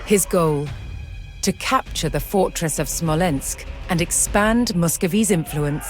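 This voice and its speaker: A woman giving scripted, documentary-style narration for a historical strategy game, measured and formal in her storytelling. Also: lowish voice. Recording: music behind the voice. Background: music.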